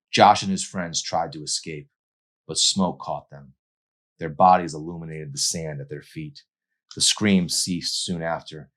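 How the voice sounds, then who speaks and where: Male narrator, extremely low voice, theatrical and intense story reading. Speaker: author reading his novel aloud. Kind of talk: dramatic audiobook narration.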